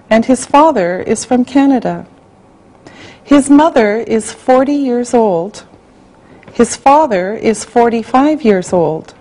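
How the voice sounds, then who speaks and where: A woman reading a language-class listening exercise, spelling out words and dictating sentences slowly and carefully. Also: fairly high pitch, mellow, rough recording. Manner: slow, carefully spelled out, evenly paced. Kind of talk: slow scripted dictation.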